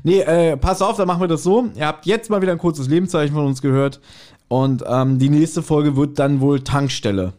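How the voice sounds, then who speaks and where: Ein Mann, der als Podcast-Host locker und gesprächig plaudert, scherzhaft und selbstironisch. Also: male voice, on the high side, normal speed, darkish tone. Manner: chatty, self-mocking, jokey. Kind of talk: casual, joking podcast chat.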